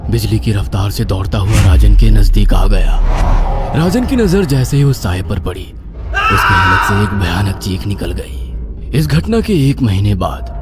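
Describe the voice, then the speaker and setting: A man narrating a scripted horror story in a dramatic style. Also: fairly low pitch.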